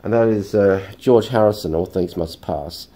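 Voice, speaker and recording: A man hosting a casual record haul vlog, talking in a chatty, rambling way with lots of ums, his voice croaky and stuffy from a cold. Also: fairly low pitch, normal speed, mellow. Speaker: vinyl collecting vlogger.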